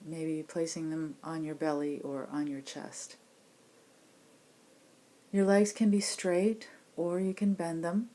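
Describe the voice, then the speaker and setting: A woman meditation teacher giving guided body scan instructions in a gentle, unhurried, reassuring manner. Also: deep voice. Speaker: meditation teacher leading a class program.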